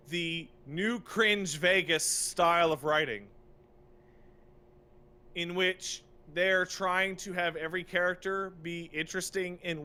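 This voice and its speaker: Emphatic, bright-voiced man ranting casually. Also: high-pitched. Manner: exasperated, emphatic, repeats phrases, swears freely. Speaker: podcast co-host. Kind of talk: casual opinionated rant.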